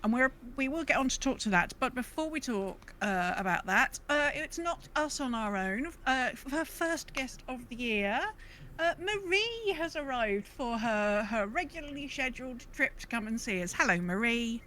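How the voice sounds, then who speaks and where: Higher-voiced woman podcast host, noisy recording. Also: lively intonation, normal speed, sharp tone. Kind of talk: casual podcast chat.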